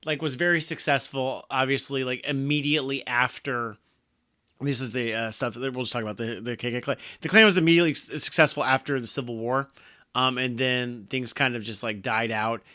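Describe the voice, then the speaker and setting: A man's voice: medium pitch, fairly even intonation, a little fast, bright, forceful tone. A man co-hosting a comics podcast, talking casually with rambling, wry asides. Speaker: podcast co-host. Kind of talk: casual chat.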